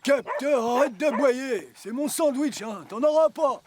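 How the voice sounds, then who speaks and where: A man's voice: very high voice, a little slow. A man voicing a cheerful, chatty older grandfather who drives a train in a cartoon dub. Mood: cheery. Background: music.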